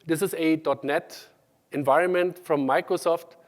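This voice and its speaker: A man from Germany with a German accent, giving a conference tech talk, halting with many ums and restarts. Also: a little high, steady tone. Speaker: conference speaker. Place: large conference hall. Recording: hall reverb.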